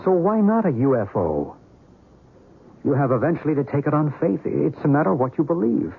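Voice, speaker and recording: Earnest mystic believer, thin radio sound. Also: male voice, on the high side, big pitch swings, a little fast, creaky, husky, phone-quality sound, heavily acted. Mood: earnest, persuasive. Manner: earnest, philosophical, persuasive. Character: earnest male mystic believer in otherworldly visitors. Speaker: actor in a radio drama. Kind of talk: old-time radio acting. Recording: noisy, thin old radio recording.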